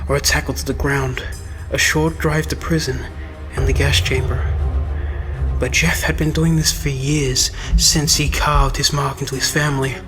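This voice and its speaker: A man narrating a scripted horror story in a measured, dramatic storytelling manner. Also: mid-range voice, flat delivery. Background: loud music.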